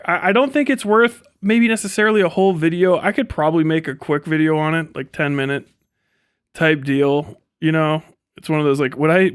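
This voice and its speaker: A man's voice: fairly high pitch, clean tone, no echo. A man hosting a casual livestream, chatting and ranting bluntly with frequent heavy swearing, close on the mic. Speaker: livestream host and content creator. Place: indoor home streaming setup. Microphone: close studio microphone.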